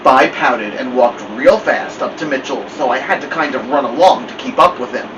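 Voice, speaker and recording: A man reading a storybook aloud as a story-time host, with expressive narration. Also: medium pitch, normal speed. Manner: expressive read-aloud delivery. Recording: muffled lo-fi, distant mic, loud hiss.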